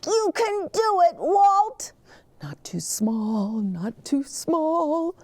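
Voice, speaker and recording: A woman voicing an excitable, friendly male snowplow driver in a children's storybook, exclaiming and cheering on his buddy. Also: high-pitched, very slow, dry recording, voice pushed up, full character voice. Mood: encouraging.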